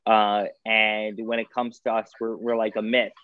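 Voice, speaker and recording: Casting: low-voiced male legislator, earnest and rambling, dry muffled call audio. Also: little pitch movement, normal speed, clean tone, no echo. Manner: earnest, self-aware, rambling. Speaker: state senator. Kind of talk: virtual town hall discussion. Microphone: video call.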